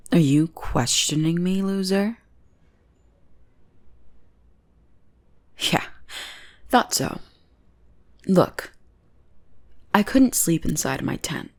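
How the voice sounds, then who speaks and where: A woman playing a bossy, teasing goth bully who is secretly smitten, in an intimate ASMR roleplay. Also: fairly low pitch. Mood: smug teasing. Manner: mocking, drawling, intimate teasing. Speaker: voice actor in audio roleplay.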